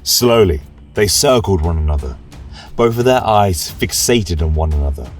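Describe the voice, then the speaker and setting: A man's voice: deep voice, expressive, normal speed, recorded from a distance. A man narrating a scripted fantasy tale as a chronicler, in a grave, reverent manner with archaic phrasing. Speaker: storyteller host playing a religious chronicler. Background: music.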